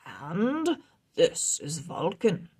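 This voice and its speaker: Kindly wizard for a storybook, read by a woman: unhurried, assured, slightly husky. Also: fairly low pitch, wildly swooping pitch, mellow, exaggerated voice. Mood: proud. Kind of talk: children's storybook read-aloud.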